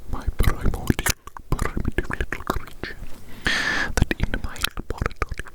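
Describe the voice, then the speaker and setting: A breathy, fully whispered evil scientist played by an ASMR performer dotes on tiny creations. The delivery is slow, fussy and coaxing, with phrases softly repeated over and over in a close roleplay style. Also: natural voice. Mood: doting.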